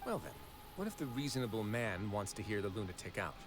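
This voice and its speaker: A man voicing a calm, protective duke in a game, speaking with measured, reassuring authority. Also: lowish voice, over music. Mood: cool, stern. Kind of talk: scripted game voice acting.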